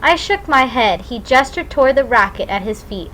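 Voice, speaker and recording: A woman's voice: on the high side, expressive, normal speed, fairly bright tone, poor audio. A woman narrating a novel in the first person as a scripted audiobook reading.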